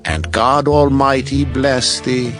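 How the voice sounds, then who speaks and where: Man playing slow, solemn old patriarch Isaac. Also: medium pitch, steady tone, close to an everyday voice. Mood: solemn blessing. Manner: solemn, measured. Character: Isaac, solemn aged father giving his blessing. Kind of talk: dramatized scripture reading. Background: music.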